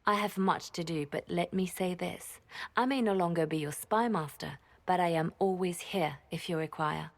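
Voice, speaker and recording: An actress playing a composed, reassuring religious leader and former spymaster in a fantasy game, calm and deliberate. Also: female voice, on the low side.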